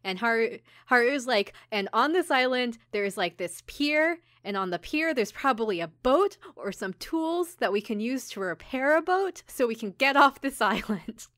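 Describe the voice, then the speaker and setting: A woman co-hosting a podcast, casually retelling a story in an animated way with mock dialogue and giggles. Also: a little high, moderate pace, hardly any pauses, no echo, clean studio sound. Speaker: podcast host and episode recapper. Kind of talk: casual chat, recapping an anime episode.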